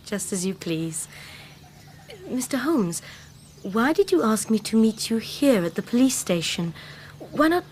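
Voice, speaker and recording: A hopeful, genteel young Victorian woman with an English accent. Her voice sits fairly high and she speaks slowly and formally, in radio drama style with faint ambience behind. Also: very animated, a little mellow, a little hiss or hum. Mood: earnest, grateful.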